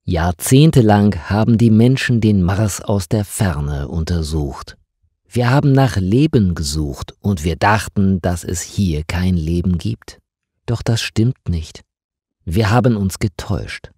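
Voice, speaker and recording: A man voicing a calm, eerie woman colonist on Mars in an audiobook, explaining things in a measured, solemn way. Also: sits low, husky, no room sound, studio quality, exaggerated voice. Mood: calm, grave.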